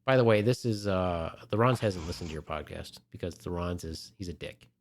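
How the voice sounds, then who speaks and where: A man's voice: low-pitched, a little fast, a little hiss or hum. A man co-hosting a podcast, joking and teasing his co-host, laughing often. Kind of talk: casual podcast chat.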